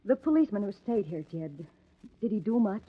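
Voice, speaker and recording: A woman's voice: mid-range voice, sing-song, a little fast, husky, narrow, tinny audio. An actress in an old-time radio crime drama playing a man's familiar, inquisitive woman friend, with a coaxing way of talking. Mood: teasing, curious. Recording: thin, band-limited vintage radio recording.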